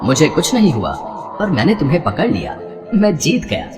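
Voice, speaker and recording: A man's voice: on the high side, wildly swooping pitch, normal speed, music in the background. A man voicing a good-natured, guileless god and fond husband in a devotional story, with formal, playfully bemused delivery. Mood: confident, boastful. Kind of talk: animated devotional story dialogue.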